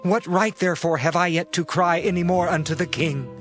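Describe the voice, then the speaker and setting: Mephibosheth, humble higher-voiced wronged man. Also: fairly even intonation, a little fast, fairly bright tone, faint music behind. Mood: humble appeal. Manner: humble, earnest, deferential. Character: Mephibosheth, wronged, submissive lame male servant of king. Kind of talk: dramatized scripture acting, archaic English.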